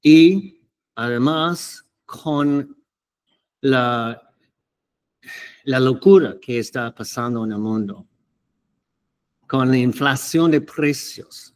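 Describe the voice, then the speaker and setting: Very slow, halting man teaching the Bible. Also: medium pitch. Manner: hesitant, halting, many fillers and restarts. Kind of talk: unscripted Bible teaching to camera.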